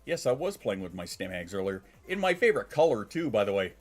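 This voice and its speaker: A man's voice: lowish voice, big pitch swings, fast, faint music behind. A man in his thirties, congested and sniffling, chatting casually on a live stream, rambling, swearing and reacting to chat. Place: home.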